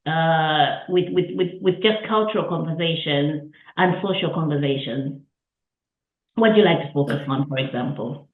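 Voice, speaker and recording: Woman interviewer on a tinny, echoey line. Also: fairly low pitch. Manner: warm, chatty, with restarts and laughs. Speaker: interviewer and host. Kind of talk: interview over a call.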